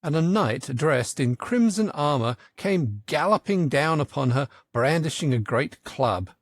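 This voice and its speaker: A man narrating a children's story in an expressive, theatrical audiobook style. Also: a little high, measured pace, no room sound, clean studio sound.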